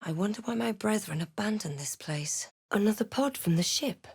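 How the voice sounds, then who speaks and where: A woman playing a brooding adventurer who narrates her own dark fantasy journey in a measured, literary storytelling style for a game. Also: medium pitch, animated, creaky, slightly rough, dry recording, studio quality. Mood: somber. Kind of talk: scripted first-person game narration.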